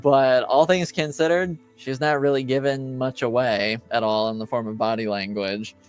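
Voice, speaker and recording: Smooth-voiced man narrating as game master. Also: medium pitch, fairly even intonation, moderate pace, soft background music. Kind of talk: improvised tabletop game narration.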